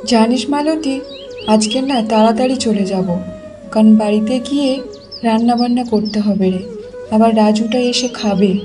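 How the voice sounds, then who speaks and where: A woman voicing a kindly, weary village mother in a cartoon, gently coaxing and advising. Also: fairly high pitch, unhurried, music in the background, lightly acted. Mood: chatty, concerned. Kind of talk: Bengali cartoon voice acting.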